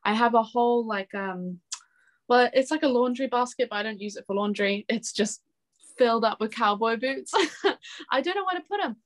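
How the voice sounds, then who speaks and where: A woman in her twenties speaking as an interview guest in a casual, chatty and expressive way. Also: fairly high pitch, moderate pace. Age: 20s. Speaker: interview guest, singer-songwriter.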